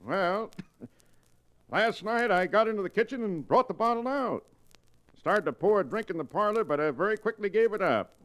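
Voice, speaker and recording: A boastful comic frontier huckster in a vintage radio western. His voice sits extremely high for a man, and he spins his yarns with theatrical, jokey relish on a restored old recording. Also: expressive, moderate pace, a bit bright. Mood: droll, joking. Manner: theatrical, jokey yarn-spinning. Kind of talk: 1940s radio western acting.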